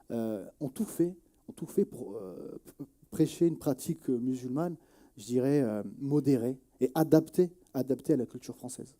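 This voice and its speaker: A man of about forty speaking in an earnest interview testimony, halting as he searches for words and repeats phrases. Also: mid-range voice, dark tone. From Nièvre, France. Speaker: journalist and activist interviewee.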